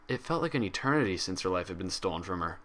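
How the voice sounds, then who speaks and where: A man's voice: sits low, lively intonation, quick pace, a bit bright. A man reading a scripted story aloud as its narrator. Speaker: fan fiction reader.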